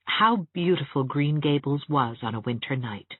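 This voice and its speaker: Woman audiobook narrator, measured and lyrical, husky, phone-like sound. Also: low-pitched, lively intonation, dry recording. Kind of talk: scripted narration of a novel.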